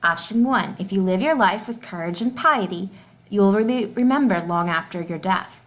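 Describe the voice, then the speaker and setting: A woman with an average-pitched voice reads out a test like a teacher. Her delivery is deliberate and enunciated, with the numbered questions and their options kept clearly separate. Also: expressive, fairly bright tone. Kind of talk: reading test questions and answer options aloud.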